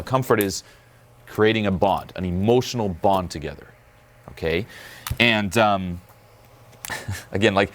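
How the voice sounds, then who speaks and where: A man coaching about dating in casual, profane talk, acting out quick bits of dialogue. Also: on the low side.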